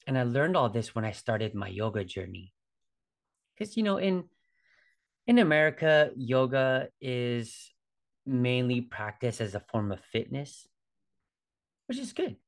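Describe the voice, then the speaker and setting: This is a man in his forties with a noticeably gravelly, creaky voice at an ordinary male pitch. He talks like a podcast host on his own, loosely and informally. He often tags his points with a conversational check for agreement. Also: big pitch swings, a little slow, pauses often. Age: 40s. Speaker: podcast host, fitness trainer. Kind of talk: casual solo podcast talk.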